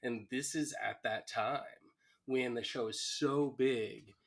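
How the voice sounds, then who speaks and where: A man's voice: medium pitch, fairly even intonation, slow pace, vocal fry. A man co-hosting a podcast, chatting casually and conversationally. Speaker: podcast co-host.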